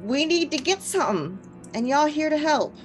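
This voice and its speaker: A woman's voice: sits high, sing-song, normal speed, faint music behind, voice pushed up, rough recording. A woman voicing a brash female military lieutenant with a Texas drawl, blunt and folksy. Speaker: guest player.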